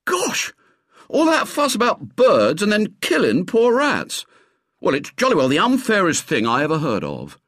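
A man's voice: medium pitch, wildly swooping pitch, normal speed, fairly bright tone, no room sound, studio quality, voice pushed up. A man voicing a headstrong, indignant English schoolboy in a storybook, with an emphatic delivery. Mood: horrified, indignant.

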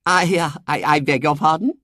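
Man as a high, languid young gentleman. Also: moderate pace, no room sound, studio quality. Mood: polite, puzzled. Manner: courteous, hesitant.

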